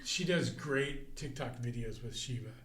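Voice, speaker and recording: A man's voice: medium pitch, measured pace, fairly bright tone, slight background noise. A man hosting a podcast, asking questions in a teasing, jokey way. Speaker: podcast host. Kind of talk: casual interview chat. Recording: echoey room.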